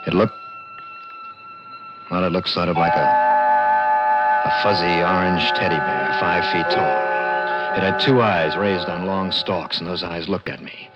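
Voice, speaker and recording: A man's voice: deep voice, steady tone, measured pace, long pauses. An actor playing a plain-spoken American garage mechanic in his thirties, narrating an old radio drama in an urgent, folksy way. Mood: wondering, tense. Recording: dull old radio recording with music.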